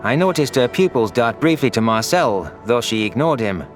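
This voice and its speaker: A man's voice: medium pitch, lively intonation, normal speed. A man reading dramatic first-person scripted narration as an audiobook narrator. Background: background music.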